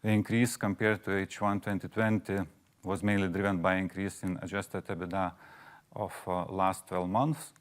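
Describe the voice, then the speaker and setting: A man delivers financial results formally, as a finance chief would. He moves through the material haltingly, with many small hesitations between phrases. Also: low-pitched, level tone.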